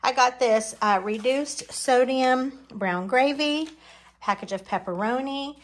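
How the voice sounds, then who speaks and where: Chatty, higher-voiced woman vlogger. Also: slow. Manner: informal, folksy, rambling asides. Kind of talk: chatty grocery haul narration. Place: home kitchen, indoors.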